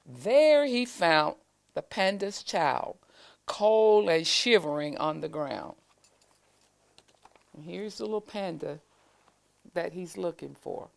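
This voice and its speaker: A woman reading a children's storybook aloud, with deliberate, expressive phrasing, as school staff do for young listeners. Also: lowish voice, vocal fry. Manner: deliberate, expressive phrasing with pauses to show pictures.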